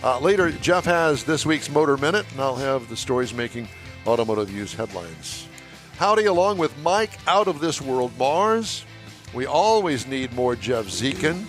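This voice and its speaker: A man's voice: mid-range voice, sing-song, normal speed, faint music behind. A man hosting a car show with jovial, teasing banter, on a headset mic at an outdoor event. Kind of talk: casual on-air chat.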